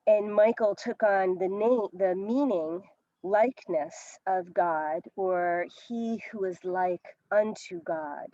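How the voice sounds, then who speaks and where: A woman giving a deliberate lecture over a video call, repeating key phrases for emphasis. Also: mid-range voice, warm tone, no room sound, lo-fi sound. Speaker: presenter. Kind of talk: slow lecture.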